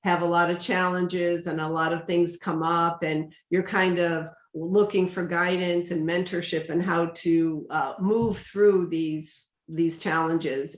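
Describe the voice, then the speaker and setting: A woman's voice: lowish voice, roomy sound. A woman hosting an online interview as an energy coach, encouraging her guest and recapping what she says.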